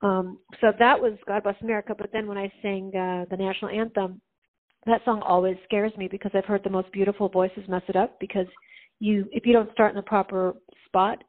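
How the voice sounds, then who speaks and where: Female singer, guest, low voice, chatty with fillers and laughs; phone-quality audio. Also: fairly even intonation, brisk. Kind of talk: casual interview chat. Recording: phone-like narrow sound.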